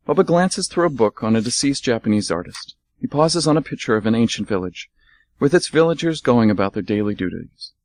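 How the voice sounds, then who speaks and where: Male narrator, steady and measured, mid pitch, dry close recording. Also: dry recording. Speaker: radio drama narrator. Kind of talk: scripted radio play narration.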